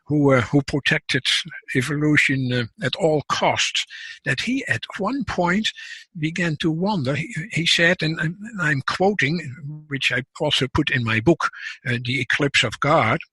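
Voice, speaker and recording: Older Dutch-accented man guesting on an interview, slightly husky voice, dry close sound, careful explanations. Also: on the high side, sharp tone, no room sound, rough recording. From Netherlands. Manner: measured, explanatory, frequent restarts. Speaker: guest scientist and author. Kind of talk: casual interview talk.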